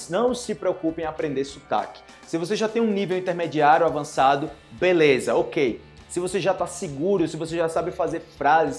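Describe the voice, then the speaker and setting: A young man from Brazil's Northeast teaches to camera in a small indoor room, his Northeastern Brazilian accent plain and his voice sitting fairly high. He talks in an animated way, acting out short dialogues as he goes. Soft music plays faintly beneath him. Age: young adult. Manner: animated, gestures a lot, acts out dialogues. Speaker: Portuguese teacher. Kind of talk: online language lesson to camera.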